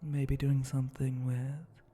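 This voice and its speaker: A man voicing a flirty, teasing spider-monster bartender in an intimate ASMR roleplay, breathy and often close to a whisper, with a languid, suggestive way of talking. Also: medium pitch, little pitch movement, dark tone. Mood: relaxed, chatty. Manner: slow, languid, suggestive drawl with pauses and hums.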